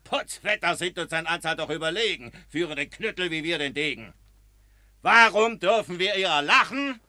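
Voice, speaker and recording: A man's voice: fairly high pitch, lively intonation, moderate pace, pushed and bright, no echo, studio quality. An actor playing a shrewd veteran soldier who lectures his comrades in rhyming verse in a radio play. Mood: lecturing. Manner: lecturing, persuasive.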